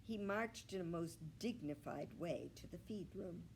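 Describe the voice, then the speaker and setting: Slow, creaky, expressive woman storyteller. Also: lowish voice, a little hiss or hum. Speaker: library storytime reader. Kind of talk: expressive children's read-aloud narration.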